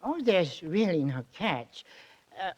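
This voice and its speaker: An actor playing a tiny, timid but boastful private detective in a 1940s radio drama, stammering and self-important. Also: male voice, high voice, very animated, slow, a little mellow, exaggerated voice. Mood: sheepish, confiding. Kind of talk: 1940s radio comic acting. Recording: old 1940s radio recording.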